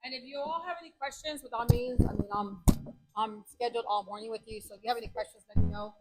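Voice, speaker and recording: A woman, speaking loudly and emphatically as county clerk to a meeting of local officials. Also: on the high side, quick pace, over music, low-quality recording. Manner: loud, emphatic. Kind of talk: speech to a meeting.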